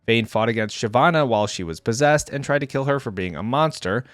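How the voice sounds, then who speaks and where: A man's voice: medium pitch, lively intonation, a little fast, fairly bright tone, no echo, clean studio sound. A man reading game lore aloud for a reaction video, casually breaking off to think aloud in conversational asides. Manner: conversational, thinks aloud between read passages.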